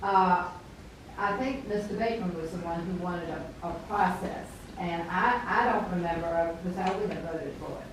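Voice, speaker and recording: A woman on the council making forceful remarks at a public meeting, with a big voice. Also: fairly low pitch, normal speed, noisy background. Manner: forceful, says she has a big voice. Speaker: council member. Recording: echoey hall picked up from far off.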